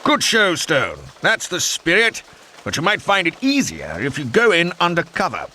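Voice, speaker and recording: A genial old-school British air force officer for a game cutscene. His plummy British voice is bright and hugely animated, full of courteous, jovial military slang. A faint noise sits behind him. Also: on the high side, normal speed, full character voice. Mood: cheerful, conspiratorial. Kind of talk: video game cutscene acting.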